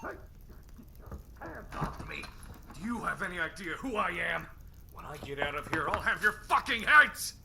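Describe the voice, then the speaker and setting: A man's voice: high voice, big pitch swings, normal speed, vocal fry, pauses often, bright, forceful tone, a little hiss or hum, full character voice. A man playing an arrogant, threatening slave hunter in a roleplay drama, indignant, haughty and aggressive. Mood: outraged. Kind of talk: scripted roleplay acting.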